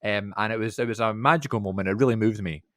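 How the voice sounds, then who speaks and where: A man's voice: fairly low pitch, fast, no room sound, clean studio sound. A man, talking casually as a podcast host. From Scotland.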